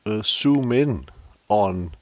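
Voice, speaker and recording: A man's voice: lowish voice, animated, extremely slow, no room sound, muffled recording. An older Danish man with a Danish accent, hosting a camera tutorial with dry, self-deprecating humor. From Denmark. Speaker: tutorial host.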